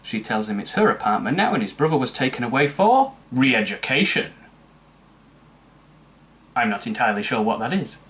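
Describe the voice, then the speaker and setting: A man's voice: medium pitch, sing-song, normal speed, pauses often, fairly bright tone. A man narrating a comedic scripted film review with sarcastic, mocking asides. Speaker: movie reviewer.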